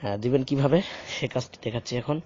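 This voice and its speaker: A man's voice: mid-range voice, fast, a little mellow. A man giving a hesitant, step-by-step phone tutorial, explaining and repeating the screen prompts. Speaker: tutorial host for blind users. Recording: dull lo-fi home recording.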